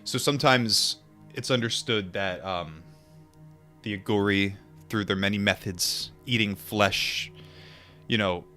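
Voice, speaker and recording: A man reading a book aloud and adding informal asides, in a measured, deliberate manner. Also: fairly low pitch, sharp tone, faint music behind. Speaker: audiobook reader and commentator.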